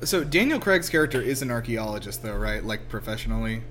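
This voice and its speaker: A man's voice: mid-range voice, very animated, fast. A man chatting casually and jokingly as he comments on a film as co-host. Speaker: podcast co-host. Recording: loud film soundtrack playing behind. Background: film music and dialogue.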